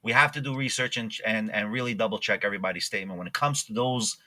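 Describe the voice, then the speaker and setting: A man from Israel with a very bright, forceful voice talks in a casual podcast, stumbling over restarts and readily agreeing with his guest. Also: on the low side, fast. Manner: hesitant, restarts often, agreeable. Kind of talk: casual political chat.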